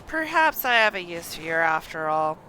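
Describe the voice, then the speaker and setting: A woman's voice: on the low side, big pitch swings, normal speed, bright, forceful tone, a little hiss or hum, poor audio. A woman voicing a brusque, haughty male knight commander from a video game, imperious and impatient. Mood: grudging, commanding. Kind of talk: reading video game dialogue aloud.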